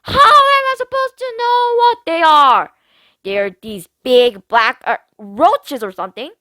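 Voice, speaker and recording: A woman's voice: high voice, lively intonation, measured pace, pushed and bright, no room sound, pitched above the performer's own voice, full character voice. A woman reading a storybook aloud, voicing a spoiled, dramatic teenage girl who whines and complains. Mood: outraged. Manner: whiny, dramatic, self-pitying.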